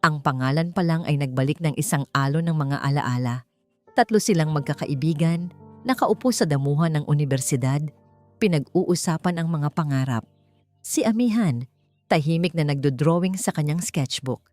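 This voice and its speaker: A woman's voice: deep voice, moderate pace, a little mellow, no room sound, soft background music. A woman narrating a scripted dramatic story.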